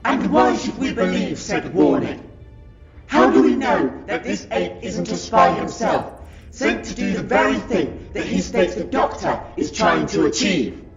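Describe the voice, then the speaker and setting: A man's voice: on the high side, big pitch swings, a little slow, creaky, full character voice. A man voicing a haughty, contemptuous reptilian Silurian of a royal court in a sci-fi audio drama, declaiming in a pompous, scornful, formal way. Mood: suspicious, disdainful. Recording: dull, echoey, under very loud music.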